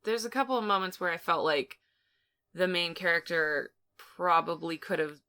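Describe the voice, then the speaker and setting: A woman's voice: on the low side, moderate pace, bright, forceful tone, smooth. A woman co-hosting a podcast, talking casually through her opinions in a rambling, think-aloud way. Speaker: podcast co-host. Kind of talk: casual film discussion.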